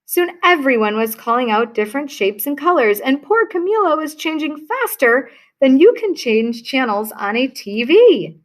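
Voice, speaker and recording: A woman teacher reading a children's picture book aloud to her class, telling it with expression. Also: sits high. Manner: slow, expressive storytelling.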